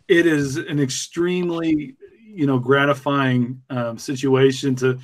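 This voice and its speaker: Male podcast producer, somewhat high register, earnest, halting, conversational delivery. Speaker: podcast producer who picks stories. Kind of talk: casual podcast chat.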